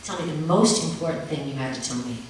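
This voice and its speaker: A tired mother woken in the night, read by a woman, gentle and hushing, with a slightly low voice. The sound is distant and rings through a very echoey room with faint ambience behind it. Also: expressive, fast talker, slight background noise. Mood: soothing.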